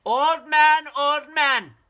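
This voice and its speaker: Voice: animated, fairly bright tone, clean tone. A reader doing a breathless little boy. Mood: urgent shouting. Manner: high, strained, drawn-out chanting of a long name. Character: small breathless boy, earnest and polite. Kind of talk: storybook reading.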